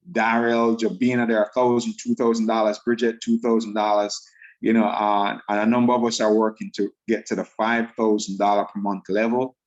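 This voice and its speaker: A man with a Jamaican accent giving an enthusiastic, fast-talking sales pitch on a video call, repeating himself for emphasis. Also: highs cut off. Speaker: online sales presenter.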